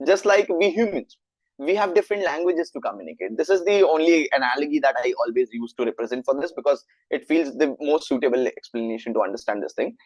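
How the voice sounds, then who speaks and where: A man's voice: fairly high pitch, expressive, fast, darkish tone, no echo, highs cut off. A young man with an Indian accent, Hindi his first language, lecturing a live online networking class and checking in with students often. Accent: Indian English. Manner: explanatory, asks students frequent check questions. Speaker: networking instructor.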